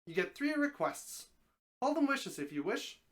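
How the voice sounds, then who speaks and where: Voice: medium pitch, big pitch swings, a little slow, voice pushed up. A reader voicing a talking snake from a story, helpful and wry, explaining things in a matter-of-fact way. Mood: wry, instructive. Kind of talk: storybook dramatic reading.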